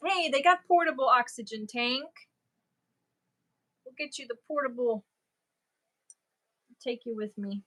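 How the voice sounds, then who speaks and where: Bright-voiced woman chatting on stream. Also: sits high, a little slow, pauses often. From Germany. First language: German. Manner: chatty and animated, laughs easily. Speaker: crochet livestream host. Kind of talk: casual livestream chat answering viewer comments.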